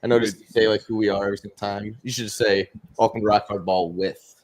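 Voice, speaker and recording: A man's voice: lowish voice, fairly even intonation, brisk, a little mellow, slight background noise. A man co-hosting a casual sports livestream, talking with hesitant stops and fillers. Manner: hesitant, many fillers and restarts. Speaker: podcast co-host. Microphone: livestream mic.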